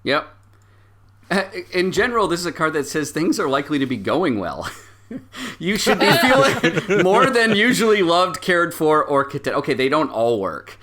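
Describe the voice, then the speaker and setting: A man narrating an improvised tabletop game as game master, halting and backtracking mid-sentence. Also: a little high, big pitch swings, bright, forceful tone.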